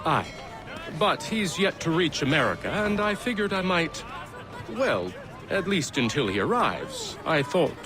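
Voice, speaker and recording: A man's voice: a little high, very animated, measured pace, over music, crowd noise. A male actor playing an earnest, deferential young man in a video game, speaking formally and eagerly to his mentor. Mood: nervous, deferential. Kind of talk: video game cutscene acting.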